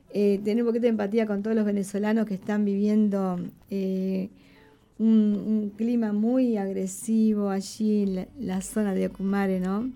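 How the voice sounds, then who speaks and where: A woman's voice: mid-range voice, dark tone. A woman hosting a casual live radio show, chatting conversationally with her co-host and addressing listeners.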